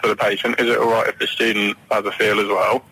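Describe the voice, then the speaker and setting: A man's voice: lowish voice, level tone, fast, sharp tone, breathy edge, rough recording. A young man chatting casually on radio, giving matter-of-fact, reassuring explanations as a medical student guest. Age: young adult.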